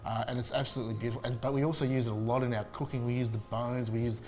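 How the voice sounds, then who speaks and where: A man's voice: medium pitch, little pitch movement, rapid-fire, a little mellow, a little hiss or hum. A man, a chef chatting casually and enthusiastically in an interview, with many fillers and restarts. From Spain.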